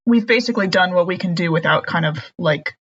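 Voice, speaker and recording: A woman playing a tabletop game, chatty and quick to laugh. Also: lowish voice, dull audio. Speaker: player. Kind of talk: casual play chat.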